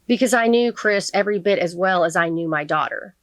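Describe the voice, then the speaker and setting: A woman reading the part of a grieving, protective middle-aged father who testifies in court in a measured, sincere audiobook manner. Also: on the low side, dry recording, studio quality, lightly acted. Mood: earnest.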